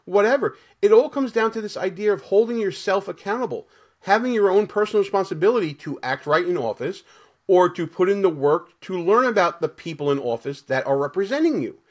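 A man's voice: sits high, animated, a little fast. A middle-aged man talking emphatically in a conversational discussion on a video call from a small room. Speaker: lawyer, podcast panelist. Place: small home office, indoors, video call.

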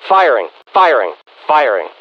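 An actor playing a disciplined American infantry soldier in a military video game, barking clipped, forceful reports to his commander. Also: mid-range voice, very animated, measured pace, husky, lo-fi sound. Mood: alert, forceful.

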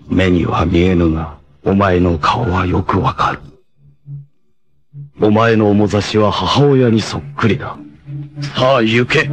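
An actor playing a noble, fatherly blind rebel warrior in an anime, with a solemn, formal, measured delivery. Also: deep voice. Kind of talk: dramatic anime acting.

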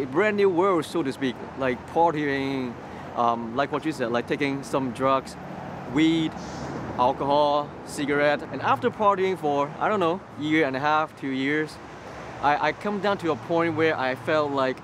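A young man from Hong Kong, Cantonese his native tongue, speaks in a slightly high voice. He talks haltingly, repeating words as he tells his story. It is recorded on a lapel mic outdoors, with street traffic clearly heard behind him. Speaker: interview guest. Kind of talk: personal testimony interview. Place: outdoors on a city street by a graffiti wall. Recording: street noise in the background. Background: city traffic noise.

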